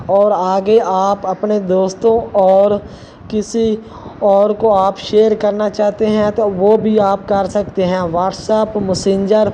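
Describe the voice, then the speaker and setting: A man presents a step-by-step tech tutorial in a high voice that could pass for a woman's. The tone is mellow and he speaks slowly. The recording is dull, with a little noise behind him. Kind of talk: step-by-step app tutorial narration. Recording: dull lo-fi sound, faint background noise.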